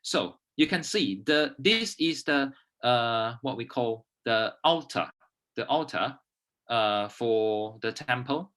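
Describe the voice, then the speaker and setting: A man's voice: medium pitch, animated, takes it slowly, fairly bright tone. A man, a guest speaker giving an informal, earnest and persuasive talk to a class, often checking in with his listeners.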